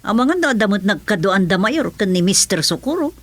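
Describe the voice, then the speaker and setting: A woman's voice: medium pitch, big pitch swings, brisk. A Filipino woman playing a chatty, deferential aide in a radio drama, with a playful manner. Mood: gossipy.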